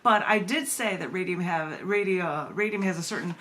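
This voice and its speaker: Bright-voiced woman reading poetry live. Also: on the low side, normal speed, a little hiss or hum. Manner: animated, rambling, self-amused. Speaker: poet and open mic host. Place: live open mic reading before listeners.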